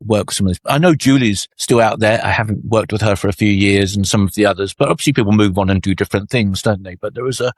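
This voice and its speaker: A man's voice: fairly low pitch, quick pace, hardly any pauses, no echo, clean studio sound. A man co-hosting a podcast, a cruise director chatting casually and agreeably about old shipboard teams. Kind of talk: casual conversation.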